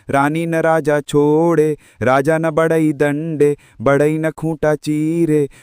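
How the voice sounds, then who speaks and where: A man voicing a tiny, plucky mother bird in a storybook folk tale, pleading in sing-song rhymes with drawn-out endings. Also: on the high side, darkish tone, clear, resonant tone, dry recording, clean studio sound.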